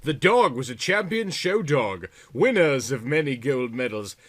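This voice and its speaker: A man's voice: mid-range voice, big pitch swings, a little slow. A man reading a story aloud in a theatrical, comic style with exaggerated, melodramatic delivery. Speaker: audiobook reader.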